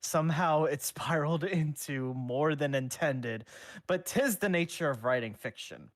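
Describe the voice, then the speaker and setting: A man co-hosting a casual stream, laughing loudly and swearing offhandedly, who also reads a fanfic aloud. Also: fairly high pitch, unhurried, no room sound. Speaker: co-streamer and reader.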